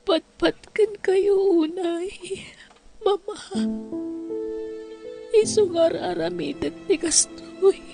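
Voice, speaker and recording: A woman's voice: extremely high pitch, steady tone, takes it slowly, creaky, long pauses, mellow, exaggerated voice. A woman playing an ailing, weary young married daughter who hides her illness, with an Ilocano accent, in a radio-style drama. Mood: tearful. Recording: music behind the voice.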